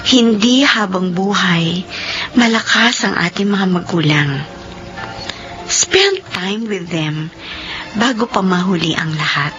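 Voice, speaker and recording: An actress playing an earnest grown daughter in a radio drama, speaking and narrating in a heartfelt way. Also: female voice, medium pitch. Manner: heartfelt, earnest, weeping in grief scenes. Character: devoted grown daughter, emotional. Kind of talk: radio drama acting and first-person narration. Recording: dull lo-fi radio with music behind.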